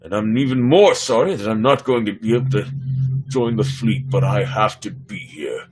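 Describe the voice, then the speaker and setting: A man acting a sorrowful, ailing president and older father, solemn and halting, in a sci-fi story reading. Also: lowish voice, lively intonation, darkish tone, slightly rough, pitched below the performer's own voice.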